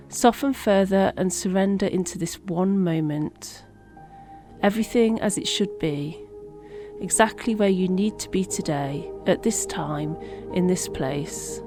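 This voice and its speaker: A woman guiding a meditation in a gentle, unhurried manner, leaving long pauses between phrases. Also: mid-range voice. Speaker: meditation guide. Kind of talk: guided meditation narration. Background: relaxing music.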